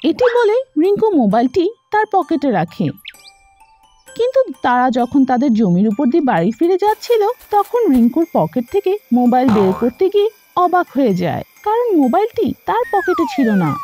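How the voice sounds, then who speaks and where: Very high, animated woman storyteller. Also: normal speed, soft background music. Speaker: narrator. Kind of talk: scripted children's story narration.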